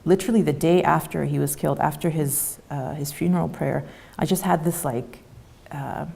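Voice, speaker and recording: A woman in her thirties who grew up in Canada, speaking as an interview guest in a soft, halting way with many fillers. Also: low voice, creaky, husky. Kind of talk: conversational interview.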